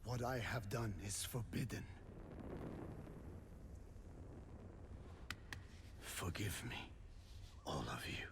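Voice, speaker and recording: A tormented old elder held captive in a game, with a very low, whispery voice. He speaks in a solemn, halting way, worn down yet defiant. There is faint noise behind him. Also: expressive. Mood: remorseful. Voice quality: breathy, whispery. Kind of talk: dramatic video game voice acting.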